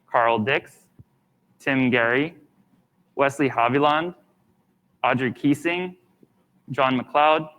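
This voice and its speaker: A man's voice: medium pitch, lo-fi sound. A man co-hosting a live candidate event, friendly and conversational as he reads out names and welcomes people. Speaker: event co-host.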